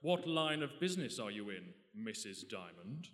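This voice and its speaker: A man's voice: on the low side, big pitch swings, moderate pace, a bit bright. A man playing a suspicious, prying ship's first officer in a comic radio play, polite but pointed. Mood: probing. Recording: echoey hall, live stage recording.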